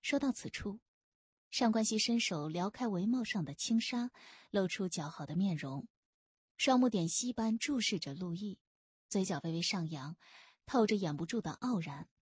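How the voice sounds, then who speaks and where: Female audiobook narrator for historical fiction: expressive, lively intonation, husky edge, dry studio sound. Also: mid-range voice, normal speed, dry recording.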